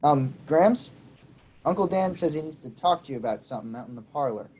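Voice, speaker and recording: A man's voice: fairly high pitch, lively intonation, fairly quick, warm tone, breathy edge. A man playing an obedient young farm boy in a staged reading, on a dull lo-fi recording. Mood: earnest. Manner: earnest, obedient. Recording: dull lo-fi sound.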